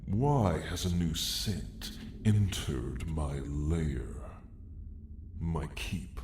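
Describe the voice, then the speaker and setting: A man voicing an ancient, arrogant dragon roused in his lair, speaking with slow, imperious menace in an ASMR roleplay story. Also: low voice, a little mellow, voice pushed down, full character voice. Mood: menacing. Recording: echoing cavernous sound, faint noise.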